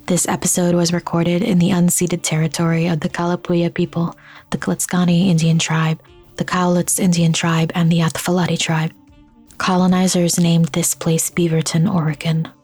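A woman hosting a podcast, reading scripted outro announcements and credits in a steady, even manner. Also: fairly low pitch, moderate pace, slightly rough, soft background music. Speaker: podcast host.

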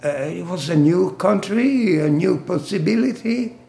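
An older man from Italy, slowly recounting his life in a halting oral-history interview. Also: high-pitched, lively intonation, creaky, mellow, roomy sound. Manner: slow, halting storytelling with fillers and laughs.

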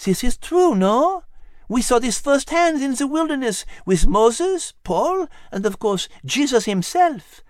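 High, refined French female cartoon host. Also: very animated, measured pace, darkish tone, dry recording, studio quality. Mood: coy, assured. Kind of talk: children's audio story hosting.

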